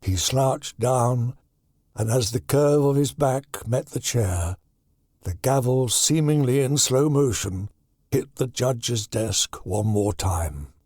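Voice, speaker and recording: A man narrating a horror audiobook in a deliberate, dramatic storytelling manner. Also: mid-range voice, darkish tone, no room sound, clean studio sound.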